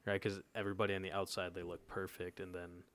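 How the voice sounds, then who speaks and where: Deep-voiced nineteen-year-old guy telling a story. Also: flat delivery, fast talker, a bit bright, smooth. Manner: laid-back storytelling that often checks in with the listeners. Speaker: podcast co-host. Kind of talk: casual chat, telling a personal story.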